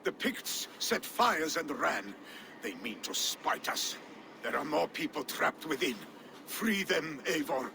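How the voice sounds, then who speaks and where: A gravelly old Viking healer. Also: male voice, on the high side, very animated, slow pace, bright, forceful tone, exaggerated voice. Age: older. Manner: earnest, scolding. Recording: game cutscene with ambient noise.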